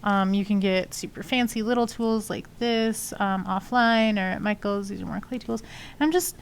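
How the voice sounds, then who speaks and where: A woman's voice: mid-range voice, moderate pace, creaky, slight background noise. A woman with a sniffly nose chatting casually and rambling with many fillers while explaining her sculpting on a live stream. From not from Texas. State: sniffly from allergies. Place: home studio, lives in Texas.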